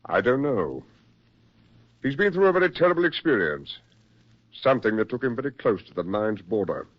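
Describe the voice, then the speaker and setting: A man acting a brisk, persuasive military psychiatrist with genteel, insistent delivery in a 1940s radio drama. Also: mid-range voice, very animated. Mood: grave, weary concern. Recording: muffled 1940s radio recording.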